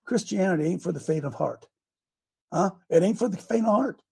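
An older man hosting a morning talk show, with a gravelly, hoarse voice, folksy and emphatic, repeating his phrases as he preaches. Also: high-pitched, animated, a little fast, mellow. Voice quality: gravelly, raspy voice. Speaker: host.

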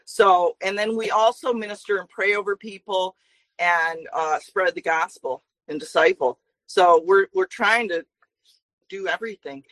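A woman in her forties speaking as a guest, earnest and talkative with many fillers. Her voice is bright and forward, recorded dry with no room sound. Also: medium pitch, unhurried, dry recording, rough recording. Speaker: guest, traveling minister. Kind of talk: interview guest talk.

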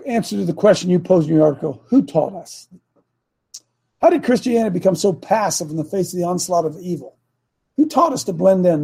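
An older man hosting a talk show, reading and commenting in a rambling, preachy way, his raspy voice phlegmy and broken by throat clearing. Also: high voice, warm tone. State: phlegmy, coughing. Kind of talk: casual political commentary.

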